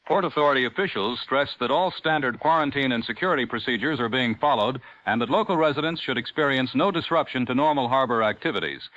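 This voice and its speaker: A man playing a measured, authoritative 1940s radio newscaster, speaking formally in a radio drama. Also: mid-range voice, fairly bright tone, natural voice. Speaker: radio announcer and news anchor. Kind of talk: 1940s radio broadcast acting. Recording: dull vintage radio broadcast sound.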